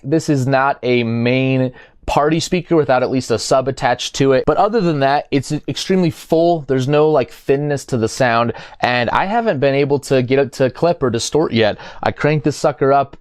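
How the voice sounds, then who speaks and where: A man's voice: mid-range voice, a little mellow, dry recording, clean studio sound. A man talking casually and unscripted as a product reviewer, explaining things in a conversational way.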